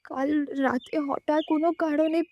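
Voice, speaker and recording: A woman's voice: sits high, steady tone, unhurried, dark tone, lo-fi sound, a little hiss or hum. A woman playing a grief-stricken young woman in an audio drama, sobbing as she speaks in a broken way. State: crying, sobbing.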